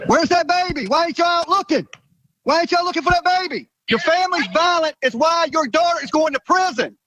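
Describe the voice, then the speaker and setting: A man's voice: extremely high pitch, a little fast, sharp tone, dry recording. A man doing confrontational street questioning, agitated and insistent, repeating his demands.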